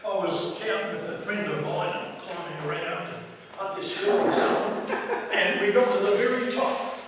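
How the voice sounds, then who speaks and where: Male, high-pitched voice, theatrical comic storyteller delivering a tall tale, recorded from far off in a very echoey hall. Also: lively intonation, normal speed, very noisy. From Australia. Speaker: club speaker telling a tall tale. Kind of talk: humorous storytelling speech to a live audience. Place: large echoey room at a club meeting.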